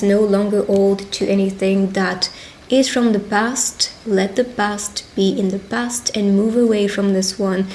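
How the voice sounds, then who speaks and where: A woman speaking directly to the listener as a tarot reader in a witch roleplay, pausing as she goes. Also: medium pitch, darkish tone, poor audio. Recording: clear background ambient noise.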